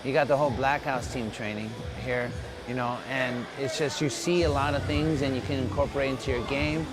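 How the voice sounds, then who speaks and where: A man's voice: mid-range voice, fairly even intonation, a little fast, music in the background. A man whose first language is Tagalog, a fighter answering interview questions in a loose, upbeat way, full of "you know" and "man.". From Philippines. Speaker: mixed martial arts fighter, interviewee. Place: gym.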